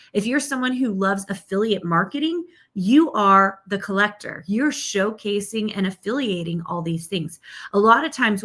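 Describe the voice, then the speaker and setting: A woman's voice: medium pitch, a bit bright. Upbeat woman coaching on a live stream. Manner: upbeat, chatty, with frequent "okay?" and "right?" check-ins. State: losing her voice.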